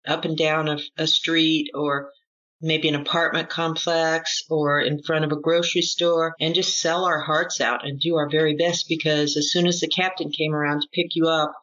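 A woman interview guest giving reflective testimony in an earnest, deliberate way, building her points through repetition. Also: low-pitched, little pitch movement, fairly bright tone. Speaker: interview guest, former group member recounting experience. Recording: dull lo-fi audio.

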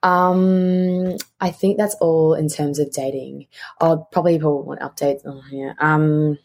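Low-pitched young Australian woman, chatty. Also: steady tone, a little slow, darkish tone. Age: 20s. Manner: candid, chatty, emphatic repetition of words. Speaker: podcast host. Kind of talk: casual solo podcast chat.

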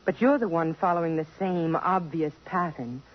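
Self-possessed young heroine female actor, direct and composed, husky tone, dull lo-fi vintage radio sound. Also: fairly low pitch, normal speed, close to an everyday voice. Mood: resolute. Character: poised, self-possessed young woman under suspicion. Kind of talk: 1950s radio drama acting. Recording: dull lo-fi 1950s radio recording.

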